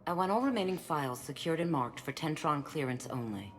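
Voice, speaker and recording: Very low-voiced alien stateswoman. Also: expressive. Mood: grave, formal. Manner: formal, measured, diplomatic. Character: dignified, guarded female alien stateswoman. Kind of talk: video game dialogue. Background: music.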